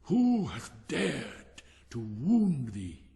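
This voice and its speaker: A man's voice: medium pitch, huge pitch swings, extremely slow, creaky, warm tone, full character voice. A man voicing a big, remorseful giant who is tender and protective, from a storybook. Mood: anguished, protective anger. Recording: long hall-like reverb.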